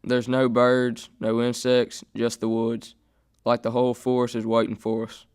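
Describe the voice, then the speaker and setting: A man's voice: medium pitch, monotone, measured pace, a little mellow, clear, resonant tone, natural voice. A young man acting an anxious, stubborn ten-year-old brother in an amateur radio play. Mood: uneasy, wondering. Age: teenager or young adult.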